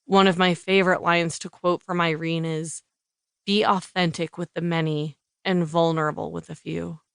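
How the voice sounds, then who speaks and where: A woman's voice: lowish voice, flat delivery, normal speed, creaky, a bit bright, clear, resonant tone, no room sound, clean studio sound. A woman reading scripted audiobook narration.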